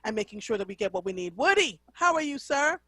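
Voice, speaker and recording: A woman hosts a call-in radio show from a dry studio mic. She keeps callers going with steady affirming mm-hmms and reads station details clearly. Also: fairly high pitch, quick pace, no echo. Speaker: radio talk show host.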